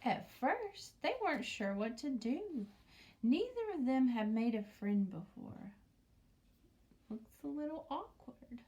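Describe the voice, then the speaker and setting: A woman with a fairly high, mellow voice reads a picture book to young students. She takes her time, speaking as a teacher who adds little asides and questions, often in a hushed tone. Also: big pitch swings, slow, slight background noise. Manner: often drops into a hushed near-whisper; asks the listening children questions. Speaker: teacher reading aloud to students. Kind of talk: picture-book read-aloud with commentary for young children.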